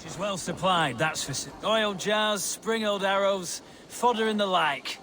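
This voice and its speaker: A practical army leader in a game, pretty high voice, faint outdoor noise. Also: male voice, unhurried. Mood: brisk, businesslike. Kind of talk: video game voice acting.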